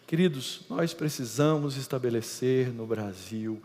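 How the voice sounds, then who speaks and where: A middle-aged Brazilian man with a Brazilian Portuguese accent, preaching an emphatic lecture-style sermon that swings between loud and hushed. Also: medium pitch, unhurried. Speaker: pastor. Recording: reverberant hall.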